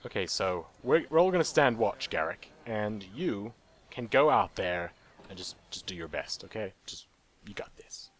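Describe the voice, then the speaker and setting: A man's voice: lowish voice, sing-song, moderate pace. A man casually commenting on his own gameplay, joking and talking to the game's characters. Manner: playful, jokey, talks to game characters. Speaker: let's play gamer.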